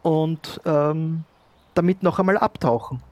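A man improvising role-play dialogue as a cork shop owner, speaking haltingly. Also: on the high side, a little mellow. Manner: hesitant, halting. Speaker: player in an audio role-play.

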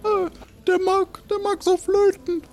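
A man voicing an odd, naive smithy shopkeeper in an improvised audio adventure, speaking in halting, repetitive, blunt bits. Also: very high-pitched, flat delivery, darkish tone, pitched above the performer's own voice. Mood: helpful, halting. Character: odd, naive sibling minding a smithy shop.

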